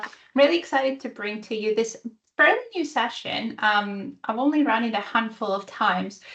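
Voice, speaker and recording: A woman's voice: fairly high pitch, a bit bright, dull audio. Woman, Greek-Italian accent, enthusiastic presenter, lots of ums, video call mic. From Greece and Italy. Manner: enthusiastic, chatty, many um fillers. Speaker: guest speaker. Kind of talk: virtual user group presentation.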